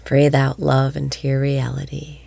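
A woman leading a guided breathing meditation in a slow, soothing voice that often drops to a whisper. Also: very deep voice, completely flat delivery, vocal fry, warm tone, breathy edge, no room sound.

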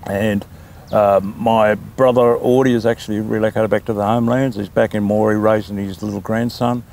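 An older Australian man with a low, gravelly voice, talking at length and easily, often pausing on an um. He speaks in a relaxed, conversational interview. A faint hiss of outdoor background noise sits behind him. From New South Wales, Australia. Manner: talkative, unhurried, with frequent ums. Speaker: land council chairman, interview guest. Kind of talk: casual interview.

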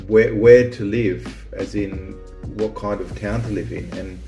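A man's voice: fairly low pitch, fairly even intonation, a little slow, gravelly, warm tone, reverberant, soft background music. A man who grew up in Australia, hosting a casual chat about small-town life, rambling with lots of restarts and ums. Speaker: vlog host.

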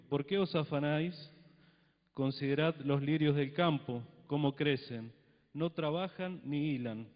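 Middle-aged pastor preaching in a reverberant church. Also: male voice, on the high side. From Argentina. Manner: reads scripture with solemn emphasis, then talks plainly with the congregation. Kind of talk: sermon with scripture reading. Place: church hall with a stage. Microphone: handheld mic. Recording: long church reverb, dull lo-fi broadcast sound.

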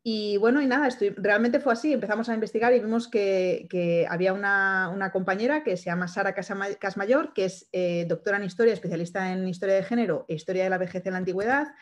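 A woman's voice: medium pitch, fast talker, hardly any pauses. Hesitant woman hosting a video call. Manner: hesitant, many restarts and fillers. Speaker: event host. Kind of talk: introducing a speaker.

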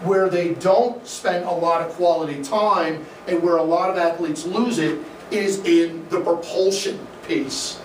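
A man's voice: a little high, a little slow, recorded from a distance. Midwestern man lecturing in an echoey room. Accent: Midwestern American. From American Midwest. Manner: energetic, gesturing, conversational with fillers. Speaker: swim coach giving a talk. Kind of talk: live presentation to an audience. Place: dim indoor event room, in front of a projection screen. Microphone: room camera from the audience, no mic seen. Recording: echoey room, voice from afar, faint noise.

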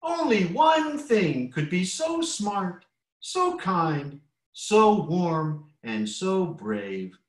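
A man voicing the tiniest hen, high and squeaky, stretching out words dramatically. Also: very animated, drawn-out words, exaggerated voice. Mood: admiring. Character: tiny panicky peeping hen. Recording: roomy echo.

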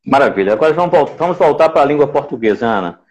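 A man in his forties with a Recife accent hosts a live online conversation. He speaks didactically at a middle pitch and repeats phrases to make his point. He is on an earphone mic in a room at home. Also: animated, quick pace, darkish tone. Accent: Recife, northeastern Brazilian. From Pernambuco, Brazil. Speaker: live stream host. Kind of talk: conversational interviewing on a live stream. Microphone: earphone mic on a video call.

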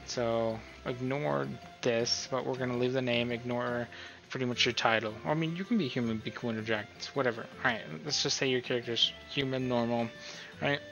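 Man, casual tutorial host, middling pitch, bright forceful tone, informal thinking aloud, dull recording. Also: fairly even intonation, moderate pace, creaky. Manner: informal, thinking aloud, often checking with "right?". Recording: dull, lo-fi sound with music.

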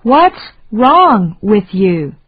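A woman reading short phrases slowly and deliberately for learners to repeat. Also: mid-range voice, very animated, breathy edge, no echo, lo-fi sound. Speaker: English lesson reader.

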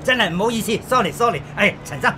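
A man's voice: high voice, lively intonation, quick pace, sharp tone, slight background noise. An actor playing a fawning, flustered male estate agent in a radio drama, hesitant and apologetic, with a Hong Kong accent. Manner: hesitant, stammering, apologetic, ingratiating. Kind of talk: Cantonese radio drama acting.

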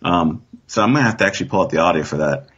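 A man with a fairly low, husky voice talks casually as a guest on a discussion show. He feels his way through his points and often checks for agreement. The audio is a little dull. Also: lively intonation, racing, a bit bright. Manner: tentative, often seeking agreement with "right?". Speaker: guest author.

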